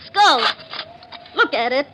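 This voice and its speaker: A woman's voice: high-pitched, animated, slow pace. An actress playing a cold, shrewd, scheming woman in a 1940s radio drama, firm and interrogating in manner. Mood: terrified. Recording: noisy vintage 1940s radio recording.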